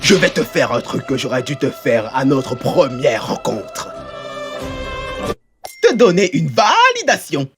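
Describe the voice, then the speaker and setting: A woman voicing a boastful but cowardly young male outlaw in an animated fan dub, talking in a cocky, rambling, easily flustered way. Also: rumbling low, measured pace, over music, exaggerated voice. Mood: smug bravado.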